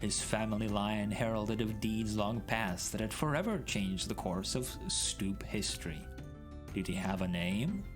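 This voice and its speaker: A man's voice: fairly low pitch, unhurried. A man doing a dramatic storybook reading in a theatrical, mock-grand way. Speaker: streamer reading a story aloud. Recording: background music mixed under voice.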